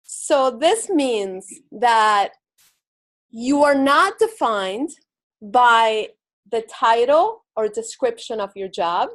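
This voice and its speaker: A woman's voice: on the high side, animated, extremely slow. A woman from Argentina with an Argentine accent giving a reflective motivational talk at a spiritual center, asking the audience many rhetorical questions. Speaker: inspirational speaker.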